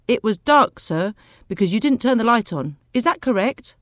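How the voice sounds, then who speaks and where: A woman voicing a sceptical older male police officer in a story, questioning in a deliberate, politely insistent manner. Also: fairly high pitch, animated, no room sound, studio quality, close to an everyday voice.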